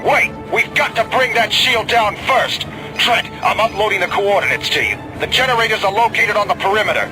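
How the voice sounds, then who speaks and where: Intense space squad leader, highish voice, short forceful orders, game music behind. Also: male voice, lively intonation, brisk, pauses often, poor audio. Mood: alarmed. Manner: forceful, clipped commands. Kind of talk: scripted game combat radio chatter.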